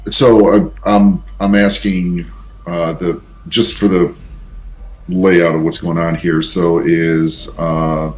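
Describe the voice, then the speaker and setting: A man with a very low voice plays in a tabletop roleplaying game. He talks casually but deliberately, pausing to sort out his thoughts and using clipped, radio-style acknowledgements. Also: little pitch movement, darkish tone, low-quality recording.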